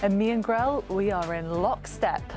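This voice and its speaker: A woman's voice: medium pitch, moderate pace, music in the background. A woman hosting a studio panel, bubbly and laughing often as she leads the chat. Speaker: studio host.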